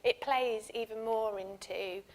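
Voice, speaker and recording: A woman's voice: fairly high pitch, steady tone, unhurried, low-quality recording. A woman speaking on an industry panel in a packed conference room, candid and earnest. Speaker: panelist from an insurance firm. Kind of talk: panel discussion answer.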